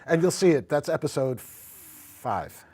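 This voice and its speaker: A man's voice: on the high side, expressive, measured pace, a little mellow, slightly rough. A man hosting a casual talk show interview, speaking conversationally and repeating phrases for emphasis. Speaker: talk show host and producer.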